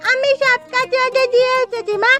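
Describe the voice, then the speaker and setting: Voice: extremely high pitch, little pitch movement, fairly quick, loud music behind the voice. An actor playing an eager, cheeky little village girl with a childish, inquisitive manner in a cartoon story. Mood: earnest.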